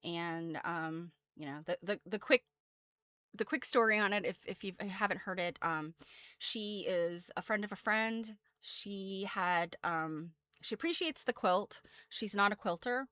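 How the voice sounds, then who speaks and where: A woman's voice: medium pitch, unhurried, bright, forceful tone, clean tone, dry recording. An American woman presenting quilt history casually as a host, chatty and self-deprecating, wandering off into asides.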